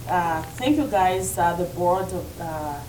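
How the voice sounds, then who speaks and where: Woman from Zambia, halting informal welcome speech, distant mic, clearly noisy. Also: lowish voice, expressive, roomy sound. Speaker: speaker welcoming guests at a community event.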